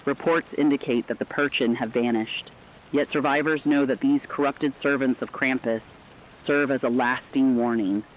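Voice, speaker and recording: A man's voice: medium pitch, fairly even intonation, normal speed, slight background noise, close to an everyday voice, rough recording. A man playing a radio anchor who solemnly addresses listeners in formal, portentous scripted horror narration. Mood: solemn, warning. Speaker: radio host.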